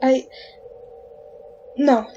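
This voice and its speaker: A woman playing a wrongly condemned, desperate soul in an old-time radio horror drama, with a halting, pleading delivery. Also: mellow, highs cut off, slight background noise. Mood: haunted, reluctant.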